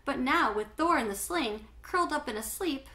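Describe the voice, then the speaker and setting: A middle-aged woman narrates a children's book in a bright, forward voice that sits a little high. She phrases things clearly and tells the story in an animated, expressive way. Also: normal speed. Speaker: read-aloud storyteller. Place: small home living room, indoors.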